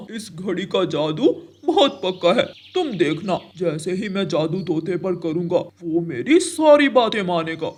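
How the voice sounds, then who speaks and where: A woman's voice: fairly low pitch, animated, slow pace, hardly any pauses, a little mellow. A woman voicing a lazy, scheming male crow in a cartoon story, with a grumbling, sly delivery. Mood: gloating. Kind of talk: Hindi animated moral-story acting.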